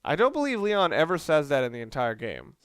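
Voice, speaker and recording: A man's voice: on the high side, sing-song, fairly quick, a bit bright, smooth, no room sound. A man giving casual, hesitant video game commentary with wry, self-deprecating asides. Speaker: video game let's player. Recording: game music and gunfire behind. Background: game music, gunfire.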